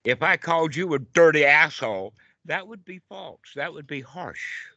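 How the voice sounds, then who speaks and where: A man's voice: on the high side, lively intonation, normal speed, bright, forceful tone, dry recording. An older man teaching informally as a Buddhist teacher, in a jovial, colloquial way with rhetorical check-in questions. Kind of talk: informal dhamma talk in a group call. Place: online group video call. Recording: dull lo-fi online call audio.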